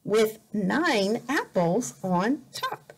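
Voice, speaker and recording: Casting: woman teacher for a children's read-aloud lesson, slightly high voice, very unhurried delivery, careful and expressive with repeated words. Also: slight background noise, poor audio. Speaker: volunteer English teacher reading a picture book. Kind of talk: slow read-aloud lesson for young learners. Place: indoor room, at a desk.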